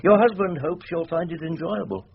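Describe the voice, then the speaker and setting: A man's voice: sits high, fairly even intonation, moderate pace, creaky, highs cut off, slight background noise. An actor playing a wily older British male barrister in a radio drama, with a wry, courtly, rhetorical manner. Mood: smoothly persuasive.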